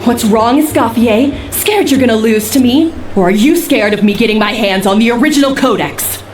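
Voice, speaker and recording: An actress playing a proud, hot-tempered female chef who talks in a sharp, taunting way in a video game. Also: a little high, normal speed, slight background noise, natural voice. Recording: echoey, music behind the voice.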